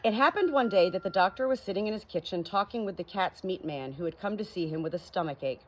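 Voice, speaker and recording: A woman narrating a children's audiobook in an expressive storybook reading style. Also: mid-range voice, fast.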